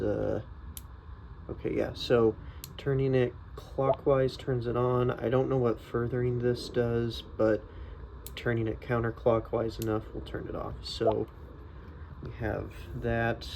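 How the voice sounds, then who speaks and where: A man's voice: medium pitch, creaky, a little mellow, a little hiss or hum. A man giving a casual, unscripted product review, thinking aloud in a hesitant, tentative way.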